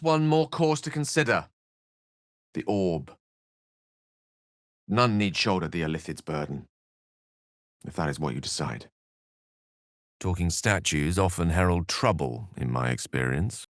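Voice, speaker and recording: An actor playing an eloquent, theatrical wizard in a fantasy video game, learned, courtly and wry, with articulate, bookish phrasing. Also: male voice, low voice, very animated, measured pace, pauses often, a bit bright, dry recording, clean studio sound, full character voice. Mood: earnest.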